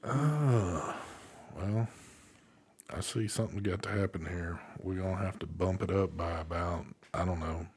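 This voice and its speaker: A man hosts a live vape stream in an easygoing, casual way. His voice sits very low, and he swears freely and chuckles between lines. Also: measured pace, a little mellow, husky. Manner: laid-back, swears casually, chuckles often. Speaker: live vape show host.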